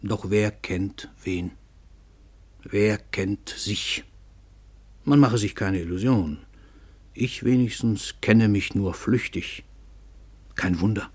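Radio play lead: self-important, dissolute famous author, deep and rough-edged, ironic, theatrical, confiding in listeners. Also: male voice, slow, long pauses, darkish tone. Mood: wry, musing. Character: brash, dissolute, self-important world-famous male novelist. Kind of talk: radio play monologue, narrating to the audience.

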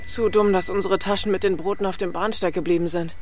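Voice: mid-range voice, steady tone, fast talker, slight background noise, lightly acted. An actor playing a dry, grumbling schoolboy in a children's audio drama.

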